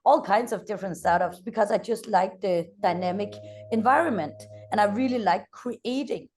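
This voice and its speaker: A woman from Denmark in her thirties with a Danish accent, speaking as an energetic company founder in an interview and pausing often on "uh". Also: mid-range voice, soft background music. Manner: energetic, frequent "uh" hesitations. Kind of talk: interview conversation.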